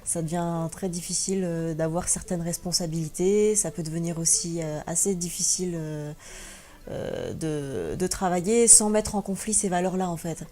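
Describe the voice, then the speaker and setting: A woman's voice: lowish voice, moderate pace, mellow. A young woman from France answering interview questions as a guest, hesitant, with many fillers and restarts. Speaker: guest blogger and teacher.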